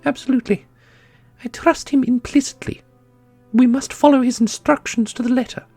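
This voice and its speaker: A woman playing a grave, caring custodian who mourns a lost brother, speaking formally and haltingly in a fantasy audio roleplay. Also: sits high, fairly even intonation, dark tone, slightly rough, no room sound. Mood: resolute, grave. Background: orchestral music.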